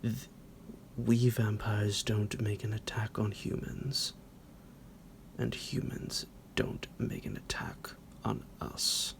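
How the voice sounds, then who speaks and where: A menacing vampire doctor, very low and heavily creaking. He draws his words out slowly in a theatrical, teasing ASMR monologue, slipping into a breathy half-whisper like a smug captor toying with prey. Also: little pitch movement. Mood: smug, sinister. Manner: slow, drawn-out, theatrical, halting, with low chuckles.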